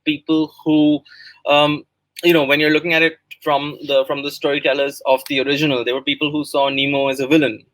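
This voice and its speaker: A man's voice: on the high side, little pitch movement, a little fast, fairly bright tone, no room sound, rough recording. A man from India with an Indian accent, a writer presenting his project in a spoken promotional talk. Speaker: writer and guest speaker.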